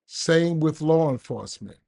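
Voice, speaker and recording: A man reading a daily reflection aloud for a recovery podcast, with measured, deliberate phrasing. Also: on the high side, animated, vocal fry, darkish tone. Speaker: guest reader on a recovery podcast.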